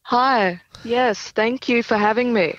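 A woman's voice: on the high side, takes it slowly, dry recording, dull audio. A young woman with an Australian accent giving casual, thoughtful, somewhat hesitant answers as an interview guest. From Brisbane, Australia. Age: young adult. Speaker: singer-songwriter, interview guest.

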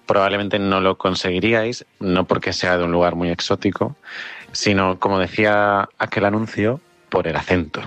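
Un hombre de Cádiz con acento español peninsular estándar, que graba un monólogo personal y articulado como oyente de radio. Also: male voice, deep voice, steady tone, normal speed, a bit bright, no echo. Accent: standard peninsular Spanish. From Cádiz, Spain. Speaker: radio listener sending a voice note. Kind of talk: personal voice-note monologue.

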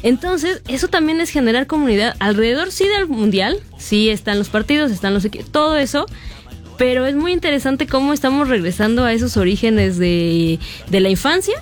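A woman's voice: on the high side, animated, a little fast, faint music behind. A woman co-hosting a broadcast show, chatting in a thoughtful, agreeable way. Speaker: co-host. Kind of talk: casual chat on a broadcast show.

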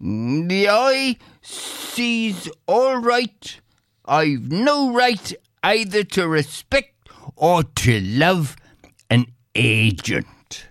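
Man putting on an excitable high-pitched peasant farmer. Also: drawn-out words, no room sound, clean studio sound, exaggerated voice. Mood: bold, defiant. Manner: exclamatory, rustic phrasing. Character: poor, excitable, defiant Irish peasant farmer.

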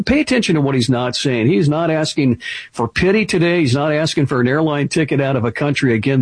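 A man making an earnest fundraising appeal on a radio show, pausing often with "uh" fillers. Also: mid-range voice, breathy edge, dry recording. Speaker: ministry representative on a radio show. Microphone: studio microphone.

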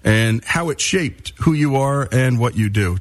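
A man's voice: mid-range voice, animated, measured pace. A man from northern New Jersey with a New Jersey accent, hosting a radio interview show and talking up his guest with enthusiasm. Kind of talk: radio interview with scripted intro.

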